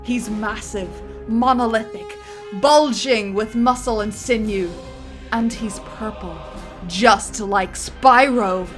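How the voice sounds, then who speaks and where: Lively woman narrating a script. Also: a little high, slow, soft background music. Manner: lively, dramatic narration with laughter. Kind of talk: reading stage directions aloud.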